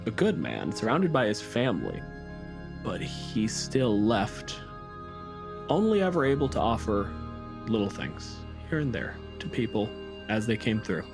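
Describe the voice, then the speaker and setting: Low-voiced male tabletop storyteller. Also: huge pitch swings, creaky, over music. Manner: deliberate, drawn-out phrases with weighty pauses. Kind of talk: dramatic storytelling in a tabletop game.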